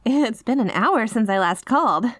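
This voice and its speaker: A woman's voice: a little high, animated, moderate pace, fairly bright tone, no room sound, studio quality. A woman acting an obsessive yandere girlfriend in a scripted audio roleplay, speaking with over-polite, saccharine menace and barely contained panic. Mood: strained, peeved. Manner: saccharine pet names, pointed over-polite phrasing, veiled threats.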